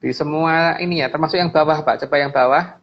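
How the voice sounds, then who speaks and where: A man with an Indonesian accent and a slightly high voice talks through slides in an informal, explanatory way. He stresses points by repeating phrases. The audio is dull, with some noise behind him. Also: steady tone, brisk. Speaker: guest speaker explaining slides.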